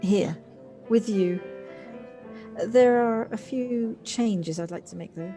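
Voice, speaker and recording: A woman in an audio play acting an earnest, reflective woman with a halting, tentative delivery. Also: medium pitch, dark tone, natural voice. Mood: happy, tender. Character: earnest, hesitant woman coming home softened and reflective. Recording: home recording on personal device, music behind.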